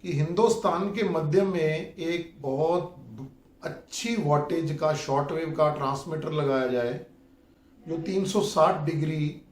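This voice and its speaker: A man presents in an earnest, insistent way, as if making a case to officials. His voice sits a little high and he takes his time, very slowly, dropping in English terms. The recording is noticeably roomy and echoing. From India. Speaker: radio enthusiast YouTuber and presenter. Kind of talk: demonstration vlog addressed to officials.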